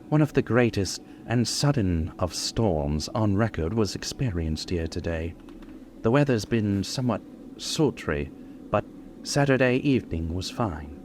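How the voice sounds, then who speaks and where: An actor playing a solemn doctor, narrating formally and ominously in old-time radio style. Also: male voice, lowish voice, darkish tone, slight background noise. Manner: formal, dramatic.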